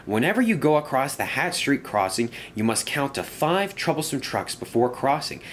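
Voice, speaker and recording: A man's voice: medium pitch, very animated, normal speed, fairly bright tone, slight background noise, voice pushed up. A man voicing an earnest, superstitious construction vehicle in a children's story, giving foreboding warnings.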